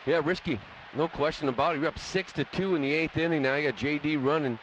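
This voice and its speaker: A man's voice: fairly high pitch, quick pace, slight background noise. An American man giving conversational analysis during a live baseball radio broadcast. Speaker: baseball color commentator. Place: stadium broadcast booth. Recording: muffled radio broadcast, loud stadium ambience. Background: loud ballpark ambience.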